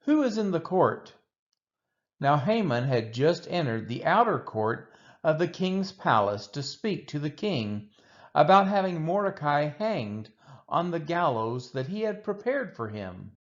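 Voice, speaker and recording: A man gives an expressive reading of scripture in measured, deliberate phrases. His pace is slow and his intonation rises and falls widely. The recording sounds a little dull and lo-fi. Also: a little high. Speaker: scripture reader.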